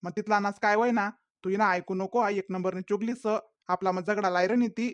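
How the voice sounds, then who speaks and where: A man's voice: high voice, fairly even intonation, brisk, fairly bright tone, no echo. A man voicing a defensive young village boyfriend in a comedy cartoon, pleading and making excuses in a Khandeshi Ahirani accent. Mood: explaining, defensive. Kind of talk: comedy cartoon dialogue in dialect.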